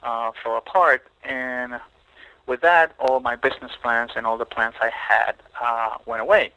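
Bright-voiced man from Puerto Rico. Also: medium pitch, steady tone, low-quality recording. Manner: thoughtful, halting with many fillers and restarts. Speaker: photographer guest. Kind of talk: podcast interview.

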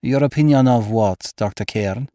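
A man's voice: mid-range voice, normal speed, dark tone, no room sound, clean studio sound, voice pushed up, natural voice. A man voicing a dramatic, anxious, pleading noblewoman in an audiobook. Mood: cool, guarded. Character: beautiful, cold yet frightened foreign noblewoman. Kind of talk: audiobook character acting.

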